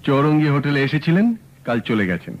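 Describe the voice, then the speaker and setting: An actor playing a sharp young male private detective in a radio drama, asking brisk, probing questions. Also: on the high side, lively intonation, natural voice. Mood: calm, probing. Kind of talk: 1970s radio drama acting. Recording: dull old radio broadcast.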